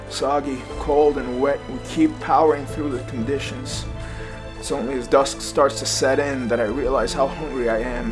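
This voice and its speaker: A man's voice: medium pitch, close to an everyday voice. An actor playing a weary, sweary survivor narrating his own trek in a dramatic audio series. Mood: grim, weary. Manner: casual, hesitant in dialogue, swearing. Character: sweary, weary male survivor trekking through snowy wilderness. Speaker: narrator and lead character. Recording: music bed under the voice. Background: soundtrack music.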